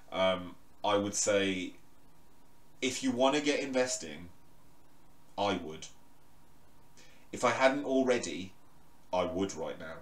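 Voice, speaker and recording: A man with a booming voice talks loosely to camera from his bedroom, full of fillers and restarts, as he stands a little way from his microphone. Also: recorded from a distance, slight background noise. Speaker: crypto vlogger. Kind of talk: casual talk to camera. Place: bedroom, indoors. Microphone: microphone in the room, standing away from it. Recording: roomy bedroom echo.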